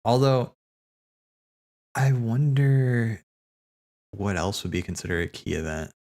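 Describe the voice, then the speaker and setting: A man's voice: on the low side, expressive, takes it slowly, a little mellow. A man streaming casual, rambling commentary, thinking aloud as he hosts, often repeating his words. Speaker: streamer hosting a watch party.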